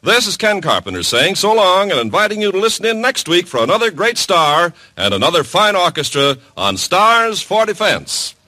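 A man giving an upbeat, brisk sign-off as a 1950s radio announcer. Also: high-pitched, big pitch swings, bright, forceful tone, a little hiss or hum.

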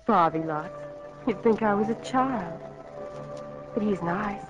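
A woman's voice: medium pitch, slow, over music, close to an everyday voice. An actress playing a coy, sweet, sheltered young woman in 1940s radio drama style. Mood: shy, smitten. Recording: dull 1940s radio recording, audience noise.

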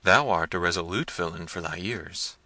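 A man's voice: low-pitched, normal speed, sharp tone, no echo. A man voicing a hot-tempered, commanding prince in a gothic novel reading, barking impatient questions and orders. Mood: suspicious.

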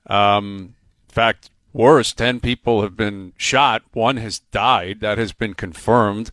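A man's voice: lowish voice, steady tone, fairly bright tone, no room sound. A man hosting a sports talk radio show, chatty and rambling as he tells stories. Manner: chatty, quick, rambling storyteller. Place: radio studio. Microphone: studio mic.

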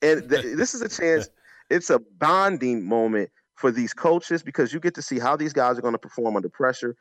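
A man's voice: medium pitch, lively intonation, moderate pace, a bit bright, no room sound, rough recording. Emphatic man talking college football, dry sound. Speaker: sports announcer and former college running back. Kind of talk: sports talk, conversational analysis.

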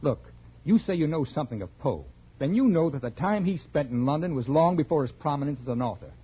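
Casting: conceited, dismissive writer for vintage radio thriller, mid-pitched, wide inflection, muffled old broadcast sound. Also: male voice, lively intonation, fast, breathy edge. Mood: guarded, defensive. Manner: brusque, cool, dismissive. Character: callous, conceited male writer, brusque and evasive. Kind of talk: 1940s radio drama acting and first-person narration. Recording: dull 1940s radio recording.

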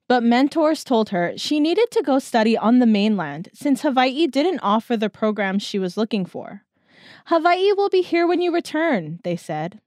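A woman narrating a scripted documentary story as its reporter, in a measured storytelling manner. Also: a little high, a little mellow, no room sound, studio quality.

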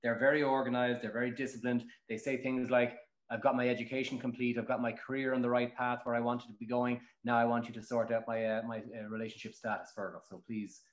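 A man's voice: medium pitch, level tone, quick pace, few pauses. Irish man in a roomy, echoing space. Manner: colloquial, emphatic, storytelling. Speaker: matchmaker guest. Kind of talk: animated interview answers with anecdotes.

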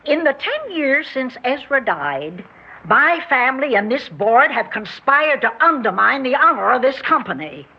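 Husky, imperious old matriarch. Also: female voice, high voice, big pitch swings, heavily acted. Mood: angry, commanding. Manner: commanding, cutting, deliberate. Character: imperious sharp-tongued old matriarch, eighty-four, company director. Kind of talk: 1960s radio drama acting. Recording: dull 1960s radio recording.

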